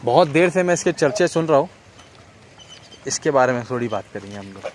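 A man's voice: medium pitch, animated, brisk, a little hiss or hum. A man hosting a casual interview vlog at a goat farm, prompting the owner with frequent murmurs of agreement, recorded outdoors at night on a handheld camera. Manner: chatty, prompting with frequent hmm and haan.

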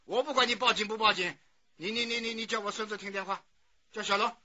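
A man's voice: high voice, fairly even intonation, fast, heavily acted. An actor playing a fussy, suspicious old grandfather in a radio drama, blustering and repeating his questions. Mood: scolding, demanding. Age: older. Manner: loud, blustering, repetitive questioning. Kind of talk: Chinese radio drama acting. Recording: dull lo-fi audio with music.

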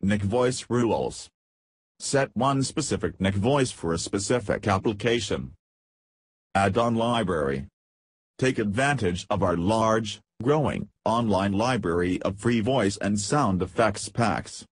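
A man with a fairly low, noticeably rough-edged voice narrates a product demo from a script. The sound is dry and close, with little room in it. He delivers it as short headed segments and feature lists. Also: animated, unhurried, no room sound.

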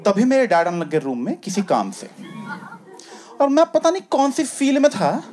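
A young man doing stand-up storytelling on a comedy club stage with a handheld mic. He acts out the scenes, dropping low for asides and jumping high for the punchlines. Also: wildly swooping pitch, normal speed. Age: young adult. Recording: live club audio with loud audience laughter.

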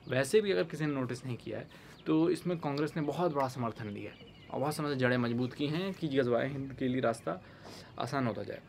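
Gravelly young man giving commentary. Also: mid-range voice, fairly quick, darkish tone. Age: young adult. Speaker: online commentary host. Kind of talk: opinion commentary to camera. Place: outdoors, overlooking a city. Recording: background noise with outdoor ambience and birds.

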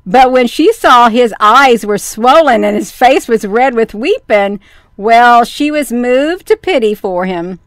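A woman's voice: a little high, expressive, a little slow, no room sound. A middle-aged woman reading a children's story aloud at a close desk mic, folksy and easily amused, with casual asides. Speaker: storyteller, host. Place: small room indoors. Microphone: large desk microphone up close.

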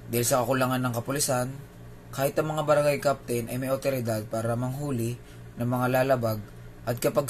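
A man with a Filipino accent presents a scripted news explainer as a video host. His voice sits at an average pitch for a man. The recording was made indoors, with faint noise behind the speech.

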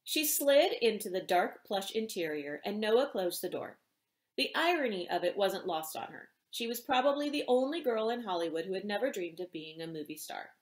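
A middle-aged woman, an author reading aloud in an expressive storytelling style in a small indoor room. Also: medium pitch, moderate pace. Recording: roomy home echo.

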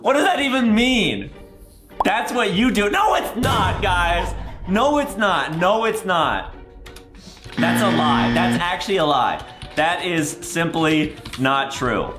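Gaming host: man in his twenties, big theatrical kid-friendly commentary, unhurried pace, echoey room. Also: sits high, big pitch swings, music in the background. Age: 20s. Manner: exaggerated, theatrical reactions with shouts and drawn-out words. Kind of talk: excitable gameplay commentary for kids. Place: indoor room with bare walls.

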